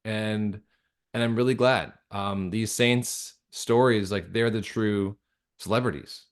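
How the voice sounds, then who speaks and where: A man with a fairly low voice talks as a guest in a casual interview. He is earnest and reflective, feeling his way through sentences with halting restarts and a conversational looseness. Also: fairly even intonation. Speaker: guest, audio drama creator and director.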